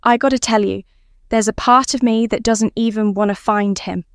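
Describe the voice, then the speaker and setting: A woman's voice: on the high side, fairly even intonation, normal speed, smooth, no room sound, studio quality, lightly acted. Woman as a grave, troubled military man.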